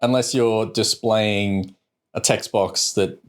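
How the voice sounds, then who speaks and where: A man co-hosting a casual tech podcast, explaining news items in a low-key, slightly hesitant way. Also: steady tone, takes it slowly, clear, resonant tone. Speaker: podcast co-host.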